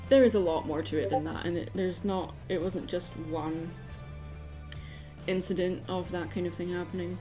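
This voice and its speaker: A woman's voice: on the low side, fairly even intonation, moderate pace. A woman hosting an art livestream, talking casually and informally as she works. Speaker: livestream host, commission artist. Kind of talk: casual livestream chat while drawing. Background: music.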